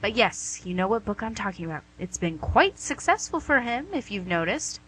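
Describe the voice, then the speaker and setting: A woman's voice: medium pitch, big pitch swings, moderate pace, a bit bright, a little hiss or hum, rough recording. A woman playing a smug, coaxing female devil in a comic radio play, with a theatrical, glib delivery. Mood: mock exasperated. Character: smug, wheedling, image-conscious female devil called Lucy.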